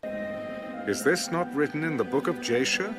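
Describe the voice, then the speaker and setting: A man narrating an ancient scripture story in a solemn, dramatic, measured storytelling style. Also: on the high side, expressive, over music. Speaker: Bible narrator on a recorded audio reading.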